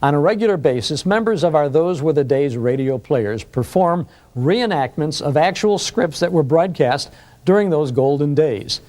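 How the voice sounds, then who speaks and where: A man's voice: fairly high pitch, expressive, normal speed, a little mellow. An older American man presenting a scripted introduction to camera as host. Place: recording studio control room, indoors.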